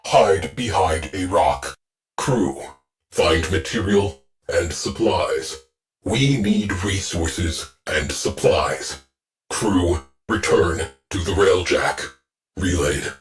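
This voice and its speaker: Deadpan, gruff ship AI. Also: male voice, fairly low pitch. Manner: clipped terse military orders, deadpan. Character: gruff male ship AI commanding a warship crew. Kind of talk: game voice lines.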